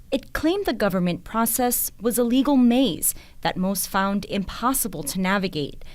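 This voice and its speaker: A woman reading scripted news documentary narration in the manner of a reporter. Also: mid-range voice.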